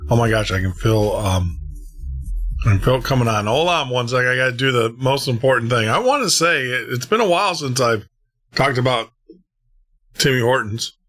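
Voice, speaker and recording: A man's voice: medium pitch, animated, moderate pace, fairly bright tone. A man hosting a late-night radio show and podcast, chatting casually, rambling and laughing at himself. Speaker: host.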